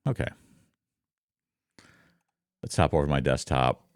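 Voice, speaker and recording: A man with a very deep, rumbling voice, explaining things casually and conversationally to viewers, close on a studio microphone. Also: measured pace, clean tone, dry recording. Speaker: content creator, streamer. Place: home office.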